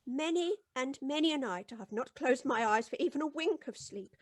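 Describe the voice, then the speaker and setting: Fretful, ominous, high-voiced housekeeper. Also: female voice, lively intonation, moderate pace, no echo, studio quality. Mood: gloomy, pious. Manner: wailing, ominous, melodramatic. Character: fretful, doom-laden old-fashioned housekeeper. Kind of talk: old-time radio acting. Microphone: close studio microphone.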